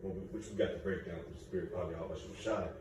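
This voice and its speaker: A man's voice: sits low, fairly even intonation, racing. A man in a class discussion, talking emphatically in a casual way. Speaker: class participant. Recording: echoey room, distant mic, ambient noise.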